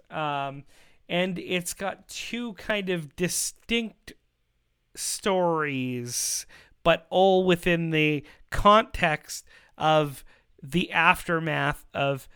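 A man's voice: on the high side, fairly bright tone, smooth, no room sound, clean studio sound. A man hosting a podcast, chatting casually in an upbeat, talkative way. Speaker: podcast host. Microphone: close microphone on a remote call.

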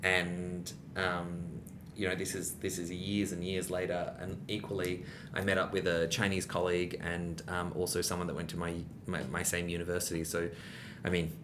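A man's voice: monotone, normal speed. A young adult man, a guest in a casual interview, talking in a rambling way with many restarts and fillers. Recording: rumbling background noise.

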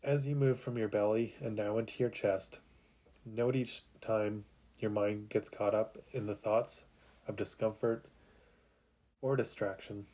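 A man narrating a guided sleep meditation in a calm, soothing, unhurried way with long pauses. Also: on the low side.

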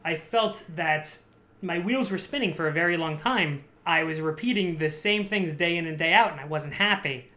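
A young man with a high, somewhat shrill voice tells stories in an animated, self-mocking way and laughs easily. His tone is bright and sharp. He sounds like a relaxed guest in a casual podcast chat.

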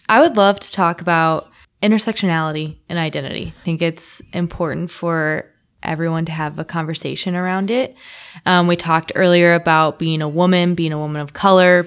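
A woman's voice: fairly low pitch, clean tone. A woman interviewing a guest, asking questions in an encouraging way.

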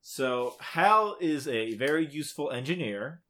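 Bright-voiced man in casual podcast chat. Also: on the high side, expressive, unhurried. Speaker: podcast co-host. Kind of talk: casual chat about video games.

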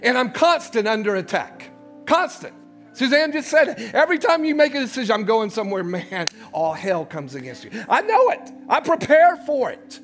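A male pastor preaching a sermon, swinging between loud shouts and hushed low passages and repeating words as he builds. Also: big pitch swings, moderate pace, faint music behind.

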